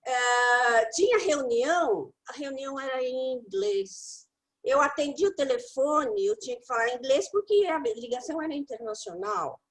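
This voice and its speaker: Middle-aged Brazilian woman guest, high lively voice, emphatic storyteller. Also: normal speed. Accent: Brazilian Portuguese. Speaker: guest English teacher. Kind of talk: casual conversation.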